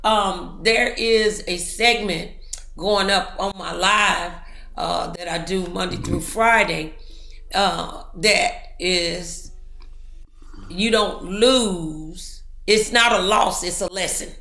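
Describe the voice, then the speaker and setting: A woman's voice: fairly low pitch, slow, gravelly, pushed and bright, echoey room. A woman hosting a talk show, speaking in an encouraging, preachy way full of motivational sayings.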